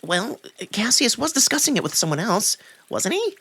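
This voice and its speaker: A man's voice: very high-pitched, sing-song, moderate pace, bright, forceful tone, husky, no room sound, voice pushed up, full character voice. A man voicing a sly, wounded male cat in a visual novel, haughty and evasive, with formal, indignant phrasing. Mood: wary, prim. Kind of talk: visual novel character acting.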